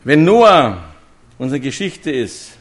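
A man's voice: medium pitch, sing-song, takes it slowly. A man, preaching a sermon to a congregation and telling stories with direct questions to his listeners. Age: 50s.

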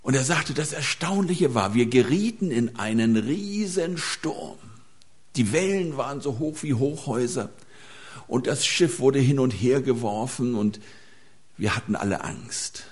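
A man's voice: mid-range voice, expressive, measured pace. A man preaching a sermon to a gathering, telling anecdotes with small hesitations.

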